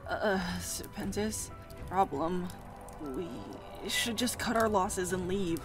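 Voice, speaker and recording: A woman's voice: mid-range voice, fairly even intonation, takes it slowly, over music, lightly acted. A woman playing a nervous, cowardly winged creature with hesitant, stammering speech in a visual novel. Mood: anxious.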